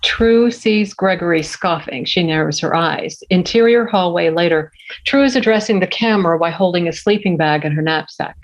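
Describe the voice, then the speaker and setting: A woman reading stage directions aloud at a table read. Her voice is slightly low, steady and plain. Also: moderate pace, poor audio.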